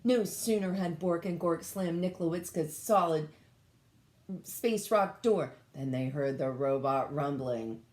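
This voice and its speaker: A woman reading a storybook aloud to children in a dramatic, emphatic, expressive way. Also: low-pitched, a little slow, a little hiss or hum. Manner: dramatic, emphatic, engages listeners with questions. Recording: echoey room.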